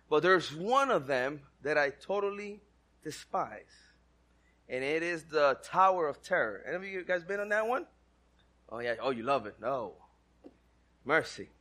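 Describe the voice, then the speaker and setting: A man's voice: on the high side, pushed and bright. A man from the Dominican Republic with a Dominican-accented English, giving a casual, conversational sermon and asking the congregation questions. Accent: Dominican Spanish-accented English. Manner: conversational, asks the crowd questions, self-deprecating humor. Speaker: church speaker.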